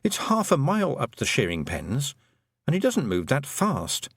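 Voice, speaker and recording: Male narrator voicing a sharp, earnest young girl, raised pitch, dry close mic. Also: huge pitch swings, moderate pace, creaky, slightly rough, no room sound, full character voice.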